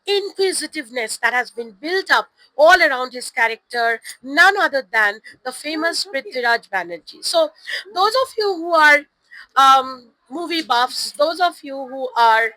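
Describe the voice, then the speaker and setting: Hoarse woman, effusive host, sharp bright tone, high voice. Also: expressive, measured pace, no room sound, low-quality recording. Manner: effusive, formal introductions. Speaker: publisher's host. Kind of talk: event introduction. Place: book fair stall.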